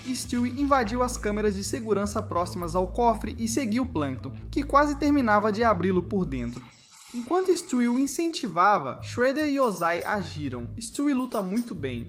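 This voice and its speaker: A Brazilian narrator reading a scripted cartoon video essay. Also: mid-range voice. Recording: music behind the voice. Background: background music.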